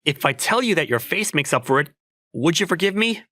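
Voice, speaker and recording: A man's voice: a little high, very animated, a little fast, pushed and bright, no echo, studio quality. A man playing an awkward, modest young working man in a romcom manga dub, musing dryly and hesitantly about himself. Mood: flustered, teasing. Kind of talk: manga dub voice acting with inner monologue.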